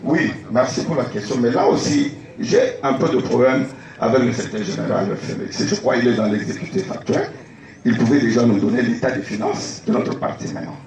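A man's voice: medium pitch, fairly quick, creaky, darkish tone, slight background noise. A man from the Democratic Republic of the Congo, giving argumentative debate answers with rhetorical questions on an outdoor tent stage. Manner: argumentative, rhetorical questions, repeats key points. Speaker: candidate for party president. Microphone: phone recording from the audience. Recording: echoey, distant phone recording.

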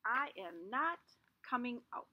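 A middle-aged woman teacher reading a picture book aloud to young children outdoors, expressive, with small asides to the class. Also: on the high side, measured pace, lo-fi sound, a little hiss or hum. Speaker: kindergarten teacher. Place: outdoors in a backyard under trees. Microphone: device camera at arm's length.

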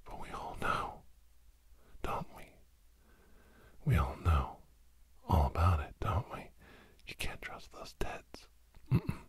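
A middle-aged man in a whispered ASMR podcast monologue, breathy and secretive, rambling haltingly and repeating words, close to the mic. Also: big pitch swings, dry recording. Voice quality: breathy whisper breaking into low murmur. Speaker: podcast host.

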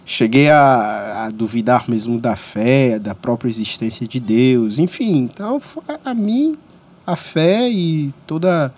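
A man in his thirties from Congo, whose first language is French, telling his life story as a podcast guest in a small studio, voice rising sharply when excited. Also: slow, breathy edge. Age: 30s. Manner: animated storyteller, pitch jumps when excited. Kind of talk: storytelling interview. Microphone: studio mic on boom arm.

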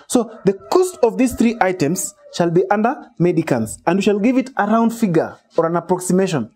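Guy teaching a lesson, high voice, kinda husky, asks then answers his own questions. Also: warm tone. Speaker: poultry farming instructor. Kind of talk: step-by-step whiteboard lesson. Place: small shed with metal walls.

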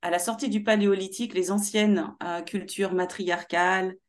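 A young woman from Paris with Martinican roots, speaking as a guest in an animated interview over a video call. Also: medium pitch, moderate pace. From Martinique heritage, born in Paris. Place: small room at home.

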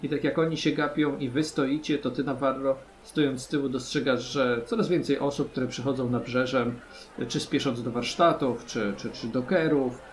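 A man narrating a tabletop role-playing game as game master, haltingly, often checking in with his players. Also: medium pitch, fairly even intonation. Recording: music under the voice. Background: background music.

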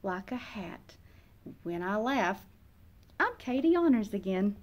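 A woman's voice: medium pitch, sing-song, takes it slowly. A woman in her thirties reading a children's picture book aloud, expressively acting out the feelings of the young narrator. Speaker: read-aloud host, storyteller. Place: small indoor classroom or reading room.

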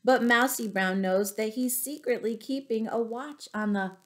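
Slow-paced woman reading to young children. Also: on the high side. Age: middle-aged. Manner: expressive, pausing to explain words. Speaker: children's storyteller and teacher. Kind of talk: reading picture books aloud to young children. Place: small room at home, indoors.

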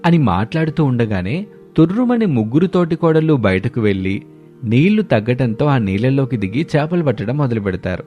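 A man narrating an animated moral tale as a scripted storyteller. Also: mid-range voice, fairly quick, faint music behind.

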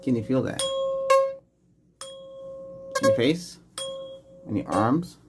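A man's voice: mid-range voice, very animated, slow pace, over loud music. A middle-aged man in an ASMR medical exam roleplay, playing a doctor who gives short, reassuring questions and instructions. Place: small indoor room set up as a clinic.

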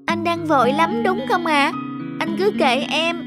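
Very high-pitched, polite little girl. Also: a little slow. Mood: apologetic. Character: polite, lonely, apologetic little schoolgirl. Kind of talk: Vietnamese cartoon drama dubbing. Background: loud music.

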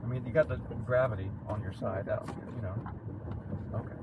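Laid-back man passenger, dry teasing, far from the mic, phone-like sound, loud engine noise. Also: lowish voice, steady tone, a little fast. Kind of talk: casual chat in a car. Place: inside a car at night on a rough road. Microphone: 360 camera inside the car, away from him.

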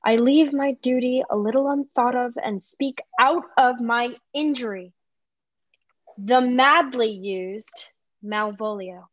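Maid for a Shakespeare comedy read, played by a young woman: aggrieved recitation, raised pitch, slightly muffled. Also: slow pace, dry recording. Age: young adult. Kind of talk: live table read of Shakespearean drama. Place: small indoor room, video call. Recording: dull video-call audio.

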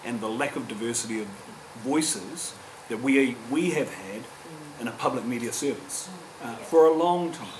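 A man in his forties with a New Zealand accent speaks earnestly at a public panel, often restarting his sentences. His voice sits at a middle pitch and carries the echo of a lecture room. A steady background hum runs underneath. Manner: earnest, halting with many restarts. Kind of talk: panel discussion. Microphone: table microphone, distant.

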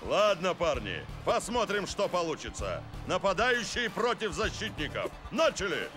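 A man's voice: high voice, very animated, normal speed. A man voicing a firm, encouraging football coach in a dubbed cartoon, commanding and instructive. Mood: instructing. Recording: music behind the voice.

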